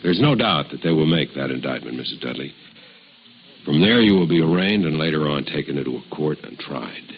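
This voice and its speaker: An actor playing a stern, pressing deputy district attorney in a radio drama, speaking formally and forcefully with deliberate phrasing. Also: male voice, rumbling low, lively intonation, husky, heavily acted. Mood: stern, insistent. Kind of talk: 1950s radio drama acting. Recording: muffled, noisy old radio recording.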